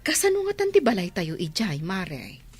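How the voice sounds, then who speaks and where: A woman with a husky voice playing an eager, agreeable family friend in a drama. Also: medium pitch, wildly swooping pitch, normal speed, soft background music. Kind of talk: radio-style drama dialogue.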